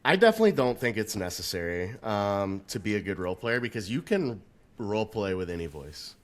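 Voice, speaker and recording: A man's voice: fairly low pitch, very animated, brisk. A man co-hosting a casual tabletop gaming discussion, a dungeon master who thinks aloud with plenty of fillers. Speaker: podcast co-host and dungeon master.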